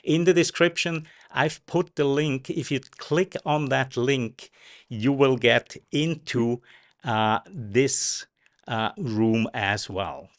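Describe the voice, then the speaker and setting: A man from Switzerland, a native Swiss German speaker, hosting a live interview in a relaxed, chatty way with fillers. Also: mid-range voice, takes it slowly. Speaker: show host.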